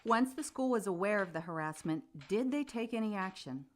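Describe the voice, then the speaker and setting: An actress playing a composed, probing news reporter conducting a formal interview in a radio play. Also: female voice, medium pitch, lively intonation, a little fast, a little hiss or hum, natural voice.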